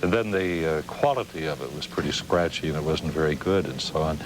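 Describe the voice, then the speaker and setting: An older American man of about seventy speaks in a low, deep voice. He hosts a conversational interview. The dated television recording carries a slight layer of noise. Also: a little fast. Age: older, around 70. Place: television studio. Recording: dated TV broadcast with faint noise.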